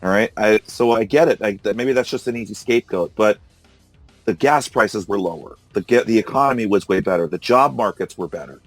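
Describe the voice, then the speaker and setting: Hoarse low-voiced man hosting. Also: fairly quick, no room sound, faint music behind. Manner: argumentative, incredulous, laughs often. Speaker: podcast host. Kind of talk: casual political discussion. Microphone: call recorder.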